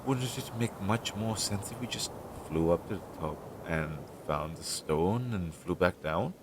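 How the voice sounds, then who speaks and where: A man acts out a witch's crow familiar for an audio roleplay, earnest, loyal and a little anxious. His voice sits fairly low and moves at a very slow, hesitant pace, as if thinking aloud to a close companion. The amateur recording carries clear background noise. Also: lively intonation. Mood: curious, surprised. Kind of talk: roleplay audio drama, intimate one-sided dialogue.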